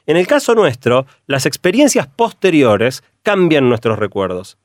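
A middle-aged man with an Argentine Spanish accent, a radio columnist explaining ideas through a steady run of examples. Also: on the high side, unhurried, dry recording, clean studio sound.

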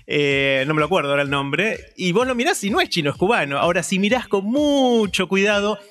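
A man with an Argentine Spanish accent, a radio columnist, telling a story in a lively, casual way and often filling pauses with "eh". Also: sits high. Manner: storytelling, animated, with filler "eh".

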